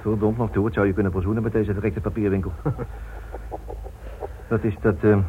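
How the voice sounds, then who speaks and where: A middle-aged senior police inspector in a radio play , played by a man, fussy and evasive. His voice is low and husky and runs along quickly, placating and mildly grumbling, over a thin old broadcast recording. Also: little pitch movement, a little hiss or hum, lightly acted. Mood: grumbling, uneasy. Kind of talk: radio drama acting. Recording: muffled, narrow-band old radio recording.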